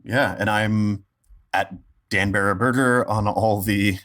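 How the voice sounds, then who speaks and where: Male podcast guest, low-pitched, wry and joking in casual conversation. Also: steady tone, slow, a bit bright. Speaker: guest voice actor and stand-up comedian.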